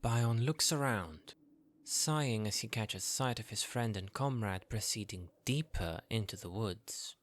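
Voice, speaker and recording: A man narrating a scripted fantasy audio drama in a measured storytelling manner. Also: lowish voice.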